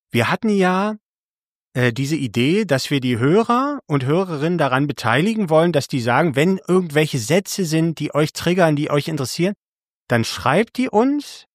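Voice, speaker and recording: A man's voice: a little high, no room sound, clean studio sound. A man co-hosting a casual podcast, who rambles and corrects himself mid-sentence. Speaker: podcast co-host. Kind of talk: casual chat.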